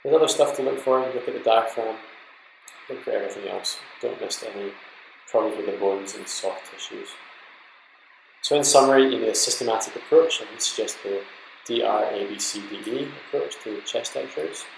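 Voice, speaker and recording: A man's voice: lowish voice, normal speed, vocal fry, reverberant, a little hiss or hum, rough recording. A man giving an informal, explanatory slide lecture to trainee doctors, talking them through examples in an instructive way. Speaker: medical lecturer.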